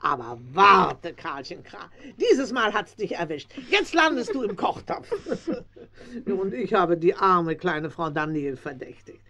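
A woman's voice: fairly low pitch, very animated, takes it slowly, bright, forceful tone, exaggerated voice. An actress playing a kindly, talkative older farm woman in a children's radio play. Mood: warm, relieved. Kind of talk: 1970s German children's radio play acting. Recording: slightly dull 1970s audio drama recording.

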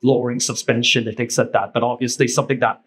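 A man's voice: mid-range voice, flat delivery, fast talker, a bit bright. A man whose first language is Cantonese, sharing casually at a panel table, rambling and friendly with frequent "right?" tags, into a handheld mic in a meeting room.

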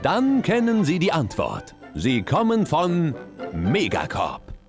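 A man's voice: on the high side, huge pitch swings, slow, over music, heavily acted. An actor playing a slick, cheery corporate announcer in a parody game advert, upbeat and salesman-like. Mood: cheery selling.

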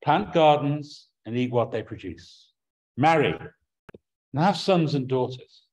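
A man's voice: medium pitch, expressive, slow pace, a little mellow, low-quality recording. A middle-aged man with an English accent preaching a sermon from a small room at home, earnest and prone to restarting his words.

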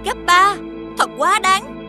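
A headstrong young cartoon princess with a high voice. She is earnest and protesting, with music clearly audible behind her. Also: expressive, slow, heavily acted. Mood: indignant. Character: headstrong young princess, dutiful yet defiant daughter. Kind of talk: animated fairy tale acting.

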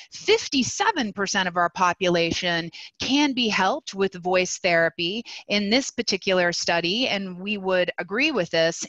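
A woman's voice: mid-range voice, pushed and bright, no echo, poor audio. Dry-sounding woman voice therapist presenting. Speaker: speech-language pathologist presenting. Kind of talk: webinar lecture. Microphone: video call.

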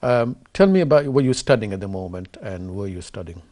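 A man's voice: fairly low pitch, animated, fairly quick, warm tone. A man in his thirties hosting a studio interview, asking earnest, eager questions with many fillers. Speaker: host. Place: TV studio set.

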